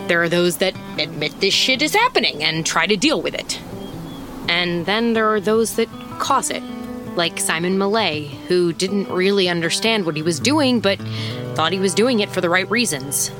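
An actress playing a wry, rattled small-town private detective who narrates in a conversational, casually profane way in an audio drama. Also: female voice, fairly low pitch, animated, moderate pace, close to an everyday voice. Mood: ominous, reflective. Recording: music under the voice.

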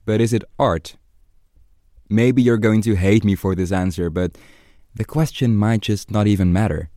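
A man's voice: on the low side, mellow, no room sound, studio quality. A man hosting a podcast, reading scripted narration in an explanatory way. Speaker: podcast host.

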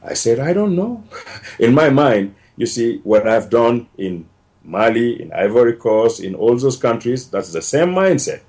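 A man's voice: medium pitch, very animated, a little slow, darkish tone. A man, telling his story as a podcast guest in an earnest way, often repeating himself. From Senegal. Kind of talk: casual storytelling interview.

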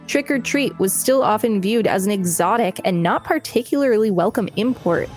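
A woman co-hosts a podcast in a medium-pitched voice. She reads an article aloud and drops in casual asides. Music plays behind her. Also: expressive, moderate pace, a little mellow. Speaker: podcast co-host.

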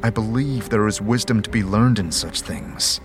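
A man's voice: on the low side, normal speed, over music, natural voice. A man voicing a curious male wanderer in a plain, slightly hesitant way. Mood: earnest. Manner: plain, hesitant spoken dialogue.

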